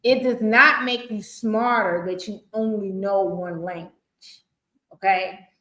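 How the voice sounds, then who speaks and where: A woman's voice: mid-range voice, steady tone, measured pace, bright, forceful tone. A woman from Chicago with a Southern-sounding accent, hosting a talk show and speaking emphatically and outspokenly. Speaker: host. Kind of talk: podcast discussion. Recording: echoey room.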